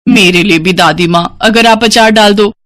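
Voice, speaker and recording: A woman's voice: mid-range voice, normal speed, a bit bright, natural voice. A woman voicing an admiring, friendly young woman in a moral story. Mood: eager request.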